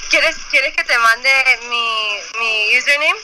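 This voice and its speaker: A woman's voice: on the high side, slow pace, noisy background. A young woman from Spain speaking effusively as a fan on a phone call. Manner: gushing, effusive.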